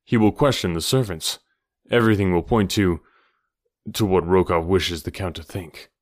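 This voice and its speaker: A man voicing a grave, steady adventurer hero with a serious, measured delivery in an audiobook. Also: low-pitched, dry recording, clean studio sound, lightly acted. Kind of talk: audiobook character dialogue.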